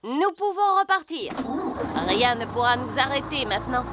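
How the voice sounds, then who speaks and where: Cheery, very high cartoon animal lady. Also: very animated, moderate pace, noisy background. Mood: brisk cheerful. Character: brisk, cheery female animal worker. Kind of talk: children's cartoon voice acting.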